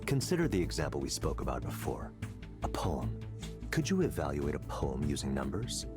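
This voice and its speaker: Driven tech executive, cold intellectual, deep lively-pitched delivery, lecturing, game dialogue, clear music behind. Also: male voice, heavily acted. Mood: smug, dismissive. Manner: deliberate, lecturing, persuasive. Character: confident, coldly intellectual male tech executive, grandiose and driven. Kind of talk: visual novel voice acting.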